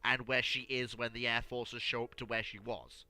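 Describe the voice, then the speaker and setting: A man in an animated group discussion, stammering and repeating his phrases emphatically as he makes his point. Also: mid-range voice, moderate pace.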